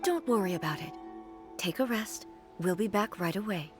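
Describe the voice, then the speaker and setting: An actress playing a solemn, gentle female ruler in a video game, speaking in a calm, formal, measured manner. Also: medium pitch, over music, close to an everyday voice. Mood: reassuring.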